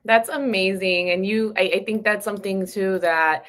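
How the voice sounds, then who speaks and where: A woman's voice: medium pitch, fairly even intonation, moderate pace, a bit bright. A woman hosting an online interview, talking conversationally with frequent restarts and asides like "right?". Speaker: webinar host.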